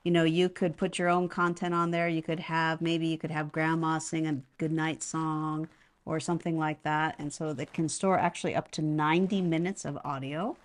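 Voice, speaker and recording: Low-pitched woman reviewing a product. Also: little pitch movement, normal speed. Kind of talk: casual unboxing and demonstration. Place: indoors at a home.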